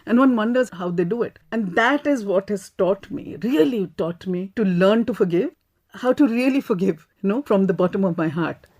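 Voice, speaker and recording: Mellow-voiced Indian woman, earnest panelist. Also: medium pitch, animated, normal speed. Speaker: animal rights activist, panelist. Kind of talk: reflective panel discussion talk.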